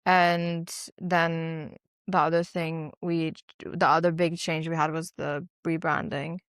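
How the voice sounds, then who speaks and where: A woman co-hosting a podcast in relaxed, chatty talk, explaining at length with many fillers. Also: low-pitched, takes it slowly, smooth, no echo, clean studio sound. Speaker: podcast co-host. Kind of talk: casual chat.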